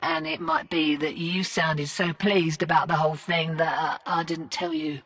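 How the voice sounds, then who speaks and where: A woman's voice: deep voice, expressive, normal speed, a bit bright, no echo, distant mic, full character voice. A woman playing a brash, sardonic rebel gunner with a wry, swaggering, casual delivery in video game mission dialogue. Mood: sheepish confession.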